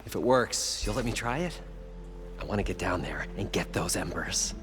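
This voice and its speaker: Excitable, swooping treasure hunter. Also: male voice, low-pitched, normal speed, faint music behind. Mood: eager. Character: excitable, earnest male leader of treasure-hunting adventurers, awed and grateful. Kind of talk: video game dialogue acting.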